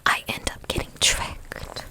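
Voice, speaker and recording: Whispering woman doing gentle ASMR. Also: unhurried. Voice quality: breathy whisper. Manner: gentle, affectionate. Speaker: ASMR host. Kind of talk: whispered ASMR, reading a children's picture book.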